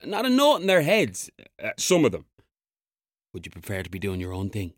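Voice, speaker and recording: An actor playing a blunt, needling Irish police officer in an audio drama. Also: male voice, fairly low pitch, huge pitch swings, normal speed, no echo, clean studio sound. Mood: dry, needling.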